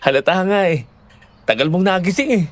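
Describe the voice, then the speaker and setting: Man acting easygoing young boyfriend, lightly high voice. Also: lively intonation, moderate pace. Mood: teasing, friendly. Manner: casual, reassuring. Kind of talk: dramatised audio horror story acting.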